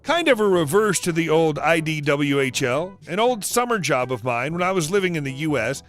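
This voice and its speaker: High-voiced man reading a story. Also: a bit bright. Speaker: story narrator.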